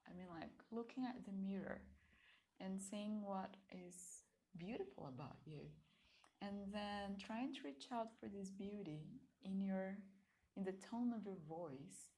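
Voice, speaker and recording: A young adult woman whose first language is Portuguese, hosting a casual pick-a-card reading in a gentle, soft-spoken way with frequent light laughs. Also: mid-range voice, a little slow. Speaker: card reader and channel host.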